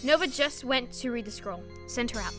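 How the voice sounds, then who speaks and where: A woman acting as a commanding female leader in a sci-fi audio drama, brisk and no-nonsense. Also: fairly high pitch, animated, music in the background, natural voice. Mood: wry, tense.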